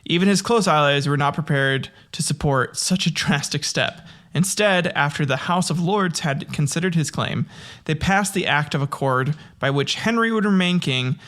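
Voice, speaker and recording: A man's voice: on the high side, a bit bright. A young man hosting a history podcast, reading history with casual, profane comic asides and frequent laughs.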